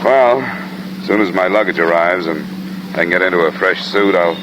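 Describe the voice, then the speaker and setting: An actor playing a cool, dry American insurance investigator in an old-time radio drama, clipped and matter-of-fact. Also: male voice, deep voice, moderate pace, noisy background, lightly acted. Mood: probing. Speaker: radio drama lead and narrator. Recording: vintage radio recording with music behind.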